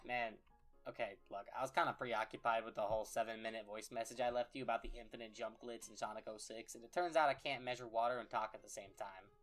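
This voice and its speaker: A voice actor playing a laid-back teen boy who rambles in slang, heard in a played-back clip from a lower-quality mic. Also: steady tone, fairly bright tone, soft background music, natural voice. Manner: fast, slangy, offhand monologue.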